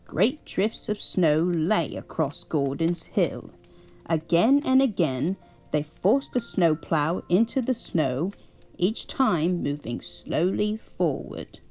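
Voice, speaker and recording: A doting old English grandmother, put on by a woman, reads a storybook at bedtime in a slow, husky voice. Her pitch rises and falls warmly as she chuckles and fusses over the child. Soft music plays faintly underneath. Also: big pitch swings, full character voice. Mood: cozy.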